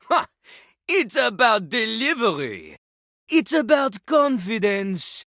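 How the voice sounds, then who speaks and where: A woman's voice: fairly high pitch, wildly swooping pitch, slow pace, a bit bright, full character voice. A woman voicing a cocky male talking toilet who fancies himself a rapper, with a French accent and a theatrical, pompous manner in a video game. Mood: haughty, flustered. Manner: pompous, theatrical, refers to himself in third person. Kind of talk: animated video game character acting.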